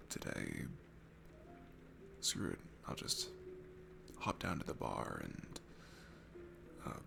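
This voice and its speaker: Shy, sweet guy alone at a bar, played by a man for ASMR audio: heavy vocal fry, lively shifting pitch, hesitant, stammering and self-effacing. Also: noisy background, full character voice. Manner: hesitant, stammering, self-deprecating, breaking into hushed breathy asides. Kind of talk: intimate ASMR roleplay audio acting. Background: music.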